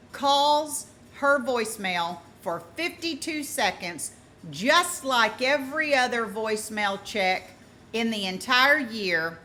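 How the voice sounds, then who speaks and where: A woman with a fairly high, bright and forceful voice talks through a true-crime case informally. She is emphatic and deliberate, circling back to stress her points. Some noise sits behind her voice.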